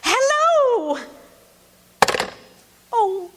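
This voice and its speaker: A woman's voice: very high voice, sing-song, very slow, a bit bright, full character voice. A woman acting a frightened bedridden wife in a live radio play, stammering and sobbing. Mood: frantic. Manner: frantic, stammering, breaks into sobs. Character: frightened bedridden wife, middle-aged, anxious and demanding.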